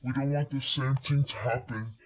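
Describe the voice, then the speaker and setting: A man delivering an amateur emergency alert announcement in halting, improvised phrasing. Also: mid-range voice, steady tone, a little hiss or hum, poor audio.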